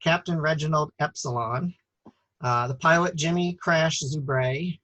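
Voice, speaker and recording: Halting man hosting a premiere chat. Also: on the high side, pushed and bright, no echo. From United States. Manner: halting, many fillers and restarts. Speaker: author and host. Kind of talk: casual online premiere chat.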